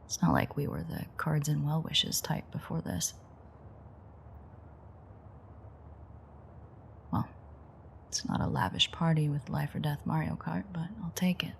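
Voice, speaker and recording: A woman's voice: low-pitched, normal speed. A woman playing a playful, flirtatiously menacing ancient vampire in an intimate roleplay audio drama, murmuring wryly and often close to a whisper. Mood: soft, sincere. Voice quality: breathy, husky, often near-whisper. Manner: teasing, wry, murmured.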